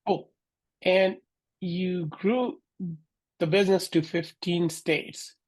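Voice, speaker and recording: A man hosting a podcast, reading a quick promo and then asking casual interview questions with fillers. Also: sits high, fairly even intonation. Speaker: podcast host.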